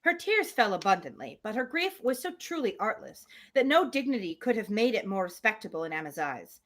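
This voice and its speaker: Lively-voiced woman reading aloud. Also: medium pitch, fairly quick. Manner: chatty, self-interrupting asides to listeners. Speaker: livestream host reading a novel aloud. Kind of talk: live read-aloud of a classic novel with casual asides.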